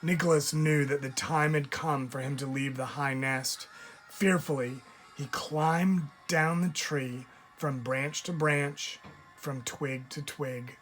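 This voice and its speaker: A man, a teacher, reading a picture book aloud in an expressive storytelling style, stressing key words. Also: a little high, slow pace. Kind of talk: expressive read-aloud narration for children. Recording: music under the voice. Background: music.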